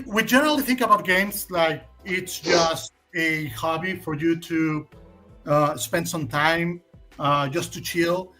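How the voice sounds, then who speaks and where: A man's voice: fairly high pitch, pushed and bright. Mexican man with a Spanish accent. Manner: opinionated, hesitant with frequent fillers. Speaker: guest. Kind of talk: casual stream Q&A.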